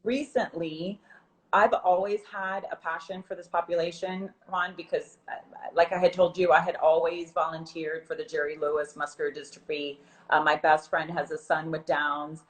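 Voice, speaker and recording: A woman's voice: deep voice, level tone, normal speed, rough recording. A woman guest in a casual video-call interview, chatty and upbeat, from South Florida. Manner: chatty, upbeat, laughs easily. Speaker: guest, program representative.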